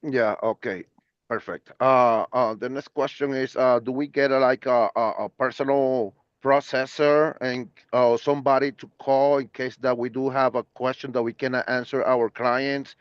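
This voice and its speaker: A man's voice: mid-range voice, fairly even intonation, normal speed, clean tone, no room sound, dull audio. A man with a Brazilian Portuguese accent, Portuguese his first language, asking questions on an online call with lots of hesitation. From Puerto Rico. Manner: hesitant, many filler sounds. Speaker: prospective broker asking questions.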